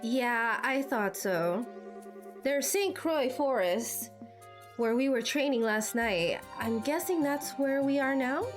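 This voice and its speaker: A woman's voice: high-pitched, animated, slow. A woman streamer doing amateur voice acting of dialogue from video game cutscenes. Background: music.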